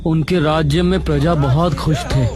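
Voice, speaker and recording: A man's voice: fairly high pitch, steady tone, moderate pace, voice pushed up, lightly acted. A man voicing a young, educated, curious village schoolteacher in a cartoon story, speaking earnestly and with wonder. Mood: reading solemnly. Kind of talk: Hindi cartoon story dialogue.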